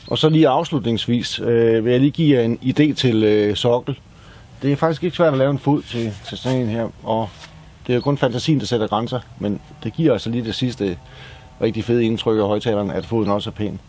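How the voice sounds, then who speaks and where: A Danish man with a mid-pitched voice walks through a practical job in a casual, explanatory tone. He speaks plainly, like an instructor showing each step. The recording has a clearly echoey room sound with faint noise underneath. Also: a little mellow. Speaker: DIY presenter. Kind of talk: hands-on tutorial narration, casual.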